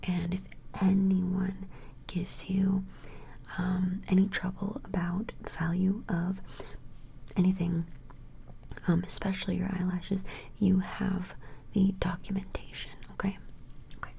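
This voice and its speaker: Slow, creaky whispering ASMR woman. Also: lowish voice, completely flat delivery, slight background noise. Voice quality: soft breathy whisper with fry. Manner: gentle, hesitant, reassuring, unhurried. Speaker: ASMR performer playing an appraiser taking measurements. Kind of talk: ASMR personal-attention role play.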